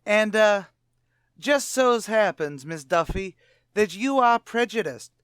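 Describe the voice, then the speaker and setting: A man's voice: sits high, lively intonation, takes it slowly, a bit bright, smooth, no echo, studio quality, exaggerated voice. A man playing a brash, slangy, bluffing tavern manager who wisecracks in an old-time radio comedy. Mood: wisecracking. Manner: wisecracking, mock-grandiose.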